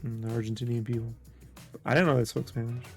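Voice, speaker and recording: A man's voice: mid-range voice, fairly even intonation, fast talker, soft background music. A middle-aged man with a Southern California accent, bantering casually and jokingly in slangy, profane talk peppered with "dude" and "man". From Southern California, United States. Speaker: host of a sketching show.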